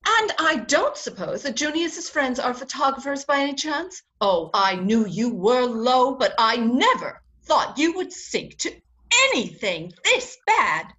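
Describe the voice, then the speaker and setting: Woman playing a high-voiced, haughty society bride. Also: sing-song, measured pace, bright, forceful tone, exaggerated voice, poor audio. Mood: indignant, cutting. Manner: imperious, cutting, theatrical. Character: haughty, sharp-tongued society bride, indignant and proud. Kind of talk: 1940s radio comedy acting.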